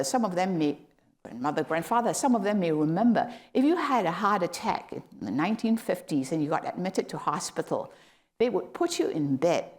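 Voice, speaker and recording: A middle-aged woman from Malaysia delivers a guest lecture in a hall, in a somewhat low voice. She explains her points patiently and rises sharply in pitch on the words she wants to stress. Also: a little mellow. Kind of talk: academic lecture.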